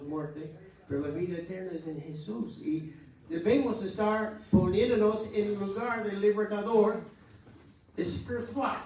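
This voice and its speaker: American guy preaching sunday school, kinda high voice, far from the mic in a big echoey room. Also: a little mellow, a little hiss or hum. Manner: earnest, exhorting with halting restarts. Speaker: pastor. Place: church hall. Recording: echoey church hall from far off.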